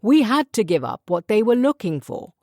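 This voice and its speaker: A woman's voice: medium pitch, big pitch swings, normal speed, a little mellow, no echo, clean studio sound, voice pushed up. A woman voicing a pompous school official. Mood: formal. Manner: pompous, formal, evasive. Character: smooth evasive older male school official.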